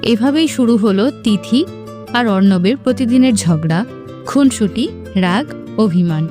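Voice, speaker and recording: A woman's voice: a little high, normal speed. A woman narrator reading a scripted romantic story. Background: music.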